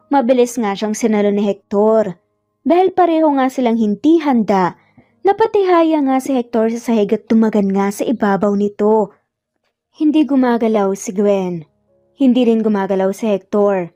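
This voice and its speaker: A woman's voice: a little high, warm tone, dry recording, clean studio sound. A woman narrating a romance story in a dramatic storytelling style. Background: faint music.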